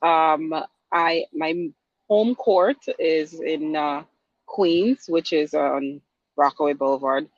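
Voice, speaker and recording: A woman with a fairly low voice answers interview questions hesitantly, pausing often with ums. The audio is dry and dull, a bit lo-fi. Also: no room sound. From Guyana. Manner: hesitant, halting, many ums. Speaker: guest attorney.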